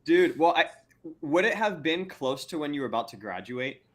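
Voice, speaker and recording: A man's voice: on the high side, animated, normal speed, fairly bright tone. A man hosting a casual interview, rambling and stammering, often breaking into laughs. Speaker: podcast host.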